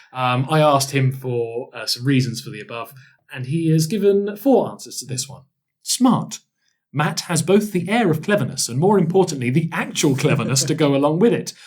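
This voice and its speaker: A man hosting a podcast, chatting casually with a guest in a teasing, jokey way. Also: mid-range voice. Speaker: podcast host. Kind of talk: casual chat, interviewing a guest.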